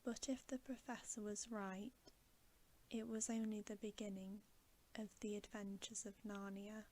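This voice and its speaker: Hushed, unhurried woman reading an ASMR story. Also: medium pitch, little pitch movement, a little hiss or hum. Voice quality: soft, hushed, often whispery. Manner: slow, soothing, measured. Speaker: ASMR storyteller, channel host.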